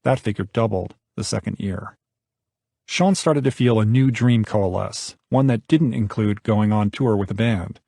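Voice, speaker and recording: Low, gravelly male audiobook narrator. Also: unhurried, mellow, no room sound, clean studio sound. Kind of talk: scripted nonfiction audiobook narration.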